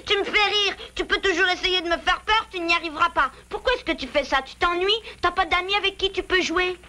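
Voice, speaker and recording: An actor voicing a plucky, polite and curious little beaver child in a French animated cartoon, with a frank, animated manner. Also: very high-pitched, fairly quick. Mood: teasing. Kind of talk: French animated series dubbing.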